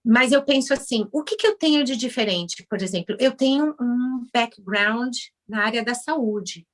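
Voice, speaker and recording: A Brazilian woman in her forties talks through her story in a relaxed interview, her voice sitting a touch high for a woman. She tells it with animation and slips into little voices when she acts out what other people said. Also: moderate pace. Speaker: guest, Brazilian orthodontist now working as a house cleaner.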